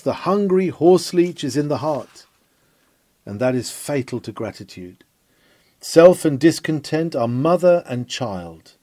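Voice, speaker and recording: A man delivers a sermon in a solemn, declamatory voice that sits a little high. His pitch rises and falls widely from phrase to phrase. The recording is dry and close, with no room sound. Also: warm tone, no echo. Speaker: reader of historic sermons. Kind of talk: sermon reading.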